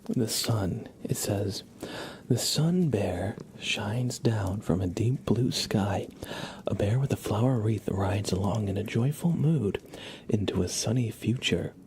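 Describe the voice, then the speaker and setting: A man hosting an ASMR livestream in a soft, breathy half-whisper with a creaky low voice, playful and unhurried, close on the microphone. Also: slight background noise. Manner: playful, gently joking, unhurried. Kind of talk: ASMR tarot card reading for viewers.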